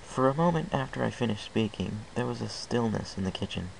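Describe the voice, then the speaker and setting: A man's voice: medium pitch, animated, brisk, gravelly, a little hiss or hum, poor audio. A man reading a fan fiction story aloud in the first person, in an earnest, plain way with small restarts.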